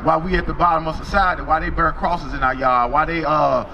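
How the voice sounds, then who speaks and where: A man's voice: a little high, steady tone, brisk, lo-fi sound, a little hiss or hum. A man street preaching to passersby outdoors, conversational and drawn out for emphasis. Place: outdoors on a city street with traffic.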